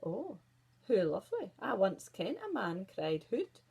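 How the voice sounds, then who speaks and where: This is a woman playing a big, smooth-talking wolf from a storybook, with a Scottish accent. He is ingratiating and over-polite, swooping widely in pitch with a husky, creaky edge. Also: sing-song, a little slow, a little mellow, full character voice. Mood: oily charm. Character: smooth, flattering male wolf, big and sly.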